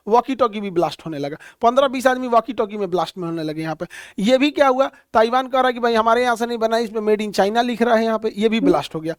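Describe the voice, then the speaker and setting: A man lecturing on current affairs in a blunt, folksy, animated way, full of homely comparisons. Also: high voice, no echo, clean studio sound. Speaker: teacher.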